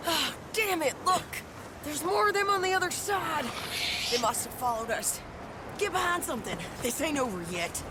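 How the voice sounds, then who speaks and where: A woman's voice: sits high, lively intonation, normal speed, heavy background noise. An actress playing a tough, determined frontier woman with an American Western accent in a video game, blunt and headstrong. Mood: tense, urgent. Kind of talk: dramatic video game acting.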